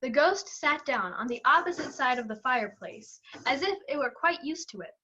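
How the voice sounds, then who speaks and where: A woman's voice: sits high, lively intonation, normal speed, pushed and bright. A young woman narrating a scripted story dramatically, on a slightly dull recording.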